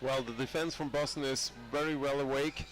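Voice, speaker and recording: A man's voice: mid-range voice, steady tone, brisk, slight background noise, low-quality recording. A man with a cold commentating a live match, rambling with many fillers and restarts. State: has a cold. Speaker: sports commentator.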